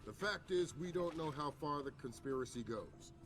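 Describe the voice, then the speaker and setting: An actor playing a measured intelligence chief who briefs the president in a game cutscene. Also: male voice, fairly high pitch, lively intonation, lightly acted. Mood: grave. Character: calm measured male intelligence chief, middle-aged. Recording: game soundtrack with clear music.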